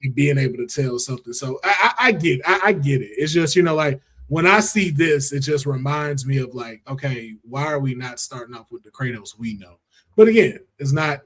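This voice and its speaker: A man hosting a casual pop culture discussion in a colloquial, emphatic, animated way. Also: mid-range voice, brisk. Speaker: podcast host.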